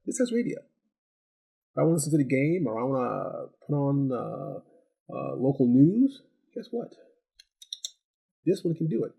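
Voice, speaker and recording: A man's voice: medium pitch, very animated, a little slow, creaky, long pauses, mellow, breathy edge, dry recording. A man giving a casual, conversational hands-on product review, often repeating phrases for emphasis.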